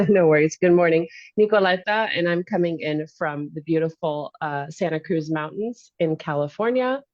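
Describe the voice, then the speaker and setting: A woman's voice: sits low, moderate pace, darkish tone, no room sound. A friendly woman introducing herself as a breathwork therapist on a video call. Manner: friendly, laughs easily. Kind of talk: self-introduction. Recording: dull lo-fi call audio.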